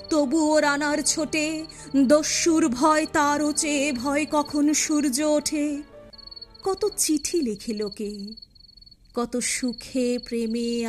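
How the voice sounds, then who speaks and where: A woman reciting a poem in a dramatic, expressive style, with measured, emphatic phrasing and drawn-out words. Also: high voice. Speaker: poetry reciter. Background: music.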